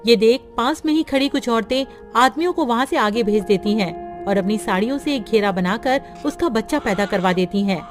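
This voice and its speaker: A woman narrating a cartoon story for children in a gentle, steady manner. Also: on the high side, normal speed, few pauses, faint music behind.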